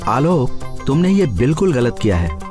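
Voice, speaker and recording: A man voicing a snobbish wealthy business client in an animated story, with a haughty, critical manner. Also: mid-range voice, normal speed, soft background music, close to an everyday voice. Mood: chiding, eager. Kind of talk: animated moral story dialogue.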